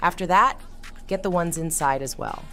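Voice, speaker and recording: A woman playing a confident adult monster fighter who mentors kids with calm command in a cartoon comic dub. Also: fairly low pitch, normal speed, faint music behind.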